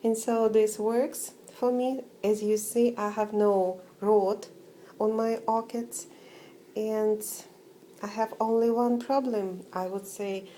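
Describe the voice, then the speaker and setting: A woman with a Russian accent, talking through a casual home vlog with many pauses, fillers and restarts. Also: medium pitch, steady tone, mellow, slight background noise. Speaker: orchid-growing vlogger.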